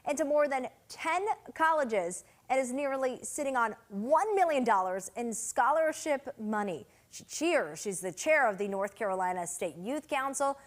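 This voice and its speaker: A female anchor with a fairly high voice reads a positive news segment. Her delivery is clear, polished and upbeat. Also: lively intonation, moderate pace, few pauses. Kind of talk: scripted news presentation.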